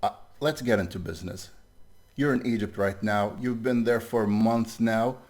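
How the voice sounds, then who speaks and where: A man hosting a podcast, asking questions in a casual, friendly way with a bassy voice. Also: steady tone, moderate pace, a little mellow. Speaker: podcast host. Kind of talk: casual interview.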